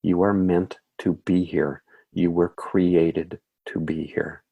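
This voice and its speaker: A man leading a guided meditation in a soothing, measured way, with calm phrasing and gentle affirmations. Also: low voice, creaky, breathy edge, narrow, tinny audio.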